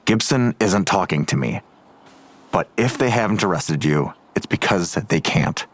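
A man's voice: deep voice, lively intonation, unhurried, breathy edge, heavily acted. A man playing a weary, grudging police detective in an audio drama, curt and sardonic in manner. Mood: curt, scornful.

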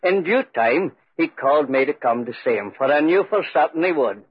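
An aggrieved country piper, stubborn and storytelling, with a fairly high, rough-edged voice. It is a roomy old 1940s radio drama recording. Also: male voice, expressive, fairly quick, lo-fi sound. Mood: sad, grim. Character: aggrieved, stubborn country piper telling his ghostly tale. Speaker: actor and narrator in radio drama. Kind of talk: 1940s radio acting, first-person storytelling.

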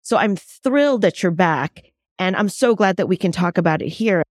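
A woman hosts an interview, asking her questions in an upbeat and friendly way. Her voice sits fairly low for a woman. The sound is dry and close. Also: expressive, normal speed, no echo. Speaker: interview host. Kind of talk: interview conversation.

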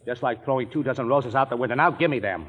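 An actor in an old radio drama playing a cynical, sneering, money-minded husband. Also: male voice, medium pitch, quick pace, lightly acted. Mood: irritated. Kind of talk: 1940s radio acting. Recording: reverberant, muffled 1940s radio recording.

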